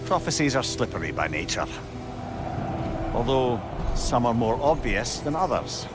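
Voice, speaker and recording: A lively, wry old Scottish sage. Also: male voice, medium pitch, measured pace, loud music behind the voice. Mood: cautioning. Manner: wry, expressive, storytelling. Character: wry, learned old male sage, cheerful storyteller. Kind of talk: dramatic video game cutscene acting.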